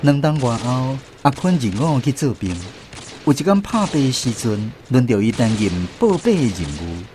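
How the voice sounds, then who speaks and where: A man's voice: medium pitch, big pitch swings, normal speed, background noise. A man with a Taiwanese accent narrating a radio drama as a storyteller.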